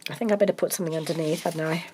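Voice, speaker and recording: A woman's voice: sits low, fairly even intonation, racing, dark tone, a little hiss or hum. A woman, chatting casually about her crafting project, congested from a cold and rambling with many ums. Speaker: craft vlogger.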